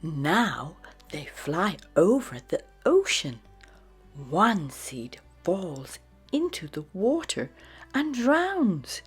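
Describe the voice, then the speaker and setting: Woman narrator for children's picture books: low, lilting and expressive, breathy, unhurried, over music. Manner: slow, deliberate, expressive storytelling. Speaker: storybook narrator. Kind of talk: children's read-aloud narration.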